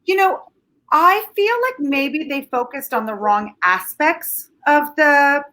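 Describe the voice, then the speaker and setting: A woman from Canada, a native Ukrainian speaker, talks as a film critic in a casual review chat. Her voice sits somewhat high and sounds bright and forceful, and she gives her opinions frankly and with energy. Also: takes it slowly. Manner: animated, candid, opinionated.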